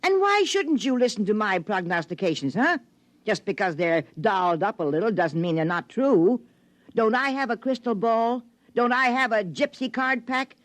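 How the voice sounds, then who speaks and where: A woman plays an old fortune-telling mother, bossy and eccentric. Her delivery is theatrical and folksy, swinging widely in pitch. It is a dry, close 1950s radio drama recording. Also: animated, normal speed, no echo, lightly acted. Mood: scolding. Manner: theatrical, folksy, wheedling and scolding.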